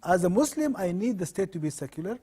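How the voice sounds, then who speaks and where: A middle-aged man from Sudan, a law professor answering interview questions in measured, earnest explanations. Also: sits high, sing-song, dark tone, husky.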